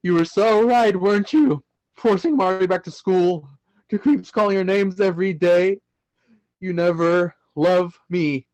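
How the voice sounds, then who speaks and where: An actor playing an angry, resentful teenage brother in a radio play, with bitter, emphatic short phrases. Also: male voice, high voice, measured pace, darkish tone, no echo, poor audio. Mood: bitter rage.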